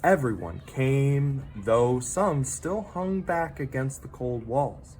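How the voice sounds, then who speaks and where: A man reads a children's story aloud over noticeable background noise. His delivery is slow and dramatic, with very lively pitch movement and pauses for effect. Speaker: teacher reading a picture book aloud.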